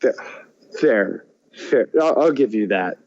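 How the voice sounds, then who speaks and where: A man's voice: medium pitch, animated, slow, darkish tone, no room sound, dull audio. A man co-hosting a podcast in casual chat, often hesitant and thinking aloud. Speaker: podcast co-host.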